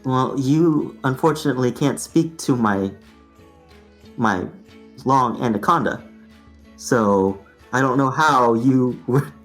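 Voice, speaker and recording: A man's voice: medium pitch, slow pace, faint music behind, lightly acted. A man voicing a polite, flustered circus snake dancer who pleads with a coworker.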